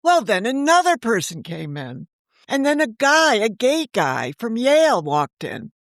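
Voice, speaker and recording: A woman's voice: a little high, sing-song, unhurried, dry recording, studio quality. A woman novelist talking as an interview guest, telling stories in a chatty way and laughing often. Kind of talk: casual interview chat.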